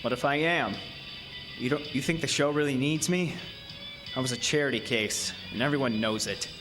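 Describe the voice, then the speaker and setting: An actor playing a troubled, weary carnival show man in an audio drama, speaking in an intense, halting way. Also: medium pitch, over music, lightly acted. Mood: wary, challenging. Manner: halting, intense, breaking off mid-thought. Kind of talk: audio drama acting with first-person narration.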